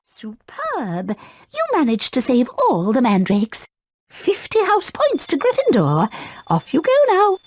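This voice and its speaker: A woman playing a brisk, encouraging schoolteacher witch who gives formal instructions in a video game. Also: sits high, wildly swooping pitch, darkish tone, slight background noise, exaggerated voice. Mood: pleased.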